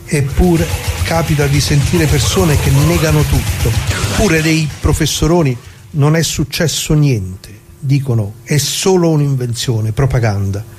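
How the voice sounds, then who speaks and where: An actor playing an anguished, plain-spoken ordinary man who muses haltingly and repeats himself in a theatrical radio monologue. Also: fairly high pitch, a little mellow, soft background music, close to an everyday voice. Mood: indignant, dismayed. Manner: halting, musing, rhetorical questions, repeats himself.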